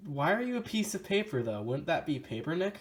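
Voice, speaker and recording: A man's voice: fairly high pitch, big pitch swings, brisk. A man in a casual scripted skit, speaking in a hesitant, puzzled way. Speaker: guest reviewer in a comedy skit.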